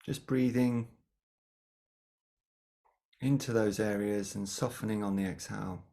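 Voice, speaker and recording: A meditation teacher leading a guided practice in a gentle, unhurried manner with long pauses between phrases. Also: mid-range voice, fairly even intonation, creaky.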